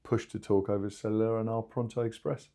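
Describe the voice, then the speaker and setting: A man explaining things haltingly in an informal podcast discussion, as a company spokesman. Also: fairly low pitch, flat delivery, dark tone. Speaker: two-way radio company spokesman.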